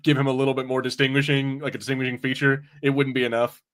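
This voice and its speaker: Male podcast host, middle register, loose informal review chat with halting phrasing. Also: mid-range voice, little pitch movement, a bit bright. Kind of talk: casual film and TV review chat.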